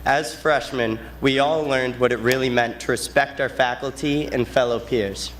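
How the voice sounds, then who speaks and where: A man's voice: medium pitch, steady tone, normal speed, slight background noise, rough recording. A young man, giving a prepared graduation speech as a student. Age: late teens.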